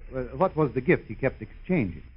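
Give me a soothing, stammering old-radio psychiatrist, highish and very sing-song, on a muffled recording. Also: male voice, big pitch swings, a little fast, very noisy. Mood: puzzled, coaxing. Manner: hushing, stammering, coaxing. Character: prominent psychiatrist soothing and questioning an excitable patient. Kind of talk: 1950s radio comedy acting.

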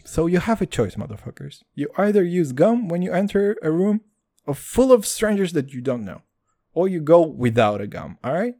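A man riffing alone on his podcast with a lively, swooping pitch that rises and falls through every sentence. He swears freely and rambles, keeps calling his listeners dude, and sips a beer between thoughts. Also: moderate pace. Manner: animated, profane, rambling, addresses listeners as dude. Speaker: podcast host. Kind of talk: casual solo podcast monologue.

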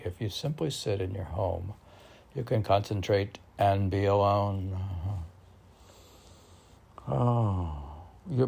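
A man with a very low, husky voice muses through his own poems on a homemade podcast. He speaks haltingly and at a slow pace, wandering between reading and talking to himself, often clearing his throat. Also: dark tone. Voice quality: gravelly, husky. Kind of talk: informal poetry reading with rambling self-dialogue.

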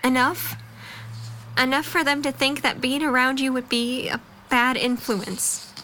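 A woman's voice: sits high, fairly even intonation, a little slow, pushed and bright, slight background noise, rough recording. A young woman playing a frank, earnest college student in an audio drama, speaking firmly and with emphasis. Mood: confiding. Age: young adult. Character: frank, earnest female college student, supportive but fed up. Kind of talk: audio drama dialogue acting.

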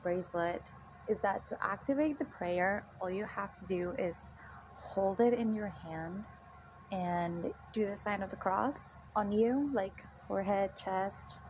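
A woman's voice: mid-range voice, unhurried, a little mellow. A woman of twenty-six from Mexico, talking casually and chattily with lots of "like", as in an audio diary. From Mexico, moved to Texas at fourteen. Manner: chatty, frequent "like" as a filler. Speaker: guest product tester. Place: apartment. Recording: dull, noisy home self-recording.

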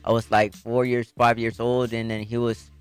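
A young man from Puerto Rico talks about his life as a boxer, earnest and soft-spoken. His voice sits at a middle pitch and drops quietly at times. Faint music plays behind him. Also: little pitch movement, normal speed, clear, resonant tone. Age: young adult. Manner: soft-spoken, earnest, many fillers, voice drops when emotional. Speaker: professional boxer guest. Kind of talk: interview storytelling.

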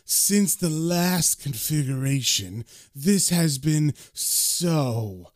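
A man voicing an amorphous nightmare demon king in a comic-book story, with drawn-out, menacing delivery and archaic phrasing. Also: a little high, animated, no room sound, clean studio sound. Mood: imperious.

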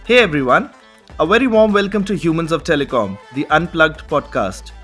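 A man's voice: on the high side, animated, a little fast, a bit bright, soft background music. A man hosting a podcast, giving polished introductions and guiding the conversation. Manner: polished, welcoming introductions with frequent "you know". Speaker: podcast host.

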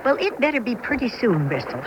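An actress playing a weathered pioneer wife, rustic and plain-spoken with an American accent, nagging in a radio western. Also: female voice, fairly high pitch, big pitch swings, brisk, muffled recording. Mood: complaining. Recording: noisy old radio drama recording.

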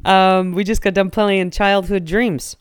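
A woman hosting a casual radio show, chatty and animated, laughing often. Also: medium pitch, unhurried, clean tone, no room sound, clean studio sound.

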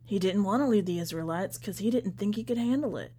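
A woman's voice: mid-range voice, fast talker, dark tone, breathy edge, natural voice. A woman voicing a pious, folksy bearded male preacher in a prison story, evasive and sanctimonious. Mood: probing, preacherly.

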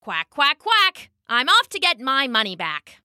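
Lady doing a cheery little boy duck for a kids story, high and bouncy, quacky and determined. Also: big pitch swings, slow pace, pushed and bright, no room sound, clean studio sound, exaggerated voice. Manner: quacking chant, simple and eager. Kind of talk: children's storybook character voice.

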